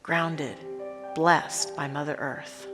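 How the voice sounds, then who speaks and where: Low-pitched woman guiding a meditation over music. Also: steady tone. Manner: reverent, unhurried, with deliberate pauses between short phrases. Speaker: spiritual leader guiding a meditation. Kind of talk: guided meditation and visualization. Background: instrumental music.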